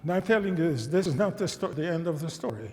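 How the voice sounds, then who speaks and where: A man in his nineties, a native German and Czech speaker, recounting a personal memory to an audience in a thoughtful, measured way, often restarting phrases and asking listeners questions. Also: a little high, animated, warm tone, slight background noise. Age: 90s. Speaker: guest speaker. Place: church sanctuary. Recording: reverberant church hall.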